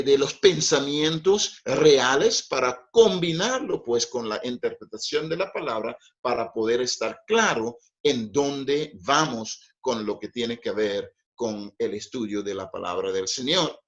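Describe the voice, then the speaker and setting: A middle-aged man, a native English speaker, preaching a Bible study in a careful, teaching manner with frequent checks of "ok?". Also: on the high side. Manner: slow, deliberate teaching with frequent "ok?" and "pues".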